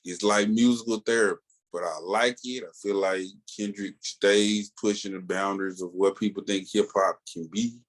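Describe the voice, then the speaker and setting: Guy chatting on a podcast, kinda low voice, laid back and slangy. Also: steady tone, normal speed. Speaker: podcast co-host. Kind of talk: casual chat.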